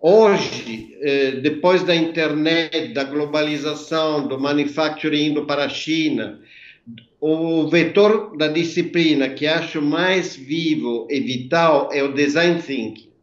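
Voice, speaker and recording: A man in his sixties with an Italian accent, a native Italian speaker, giving measured, methodical explanations as an interview guest over a video call. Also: fairly high pitch. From Italy. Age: 60s. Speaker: interview guest, architect and designer. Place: indoor workroom, remote video call. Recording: echoey, dull video-call audio.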